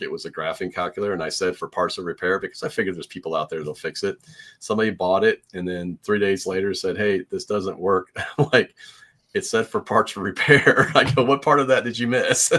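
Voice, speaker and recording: A man from Iowa, a guest reseller in a casual chat, easygoing and halting, laughing often. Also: low-pitched, fairly bright tone.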